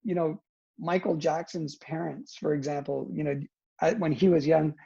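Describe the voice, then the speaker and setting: A man's voice: on the high side, steady tone, moderate pace, vocal fry, mellow, slightly rough, dull audio. A man whose first language is Italian, a guest answering interview questions in a thoughtful, hedging way, tagging his points with "right?". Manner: thoughtful, hedging, frequent "you know" and "right?". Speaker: guest, education professor.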